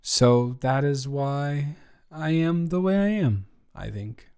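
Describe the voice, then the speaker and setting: A young man playing a shy, nervous, sweetly awkward college student in an intimate audio roleplay, speaking in a halting, stammering, rambling way. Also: fairly high pitch, a little mellow, smooth. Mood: vulnerable, tender. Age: young adult. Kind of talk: intimate one-sided audio roleplay acting.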